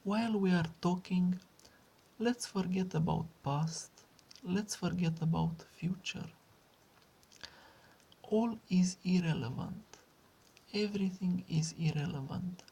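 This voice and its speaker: Woman doing guided meditation, super husky n breathy, kinda creaky, drifts into whispers. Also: fairly low pitch, mellow. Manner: slow, soothing delivery with long pauses and repetition.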